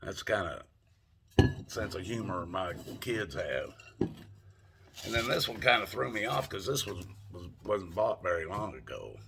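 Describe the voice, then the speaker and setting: A man's voice: sits low, sing-song, measured pace, creaky, background noise. An older man with a Southern US accent, rambling in a folksy, salty way as he talks to his camera for a vlog. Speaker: vlogger. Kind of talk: casual monologue to camera.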